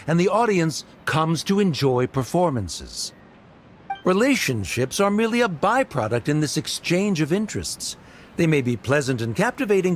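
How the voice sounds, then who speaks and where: An actor playing a haughty, coldly rational scholar in a video game, speaking formally and condescendingly. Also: male voice, a little high, slight background noise. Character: haughty male scholar accuser, cold and coolly rational. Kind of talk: scripted video game dialogue.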